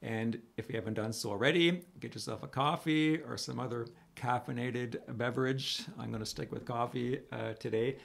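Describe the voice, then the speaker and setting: Middle-aged male priest, raspy and gravelly, conversational preacher. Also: medium pitch. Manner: conversational, animated hand gestures, reads scripture slowly. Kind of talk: video homily to camera. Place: small room at home.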